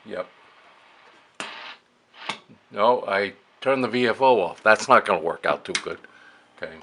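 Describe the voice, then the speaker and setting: A man speaks in a lowish voice with some noise behind him. He gives an informal hands-on demonstration like a hobby radio operator. His delivery is halting, often muttered to himself as he works. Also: expressive, bright, forceful tone. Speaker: amateur radio operator demonstrating vintage gear. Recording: clearly noisy room recording.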